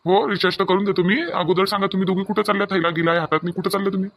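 A man's voice: sits high, fairly even intonation, racing, a bit bright. A man voicing an easygoing, inquisitive young villager in a cartoon comedy, speaking casual, colloquial Varhadi Marathi. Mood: curious. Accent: Varhadi Marathi from Vidarbha.

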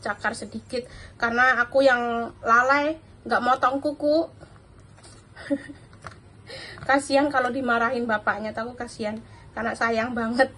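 A bright, high-pitched woman with a thick Javanese accent talks casually to the camera over breakfast. She chatters on easily and laughs often. The sound comes from a phone set on the counter in a large kitchen. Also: steady tone, a little slow, long pauses, slight background noise. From Java, Indonesia. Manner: chatty, laughs often, mimics others' voices. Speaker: domestic worker vlogger. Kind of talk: casual chat to camera while eating breakfast. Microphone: phone camera on the table.

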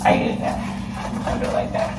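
A woman's voice: a little fast, background noise. A young woman in her twenties chatting casually to viewers while she cooks in her home kitchen, filmed by a camera across the room. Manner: chatty, talks straight to viewers. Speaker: cooking vlogger. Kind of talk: casual cook-along chat. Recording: echoey kitchen, distant mic, clattering pans. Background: dishes and pots clattering.

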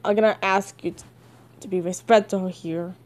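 A woman's voice: medium pitch, steady tone, normal speed, darkish tone, slight background noise, pitched below the performer's own voice, lightly acted. A woman voicing a stern, tired male teacher-hero interrogator in a comic dub.

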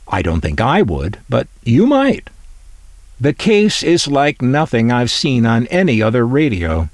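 Low, wry American man, very expressive pitch. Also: dry recording. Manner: wry, opinionated, dry humour. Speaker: collector presenting vintage transistor radios. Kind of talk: conversational video narration.

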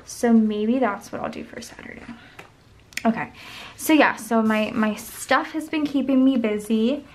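A young woman with a voice going hoarse chats casually while she decorates her planner at a desk at home. She rambles and counts under her breath as she works. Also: on the high side, unhurried. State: losing her voice. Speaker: planner vlogger. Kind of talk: casual plan-with-me chat. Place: home desk, camera overhead.

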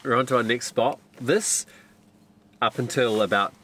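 A man in his thirties vlogging on a trip, chatty and excitable, with bursts of loud enthusiasm. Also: mid-range voice, a little slow. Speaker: travel vlogger. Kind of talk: casual travel vlog chat. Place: outdoors on a windy island and village streets. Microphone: camera mic, handheld selfie framing.

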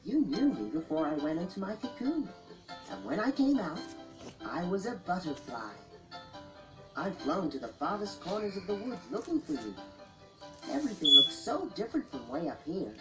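A woman voicing a gentle male butterfly in a storybook, soothing and affectionate. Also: fairly low pitch, big pitch swings, moderate pace, pauses often, low-quality recording. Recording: music behind the voice.

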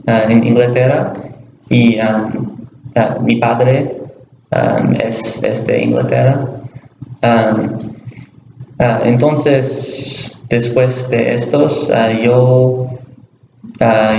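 A young university student speaking slowly and haltingly in a language he is learning, with many pauses and restarts, recorded in a small bare room. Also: mid-range voice, vocal fry, darkish tone, a little hiss or hum. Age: young adult. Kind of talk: scripted class conversation in a learned language. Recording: roomy, dull webcam audio.

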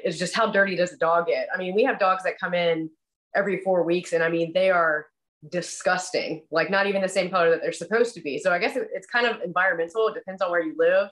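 A young adult woman, a dog groomer, answering questions in a relaxed, chatty way over a video call. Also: fairly low pitch. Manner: relaxed, chatty, many "like" fillers. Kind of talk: casual interview answers. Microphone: video call webcam.

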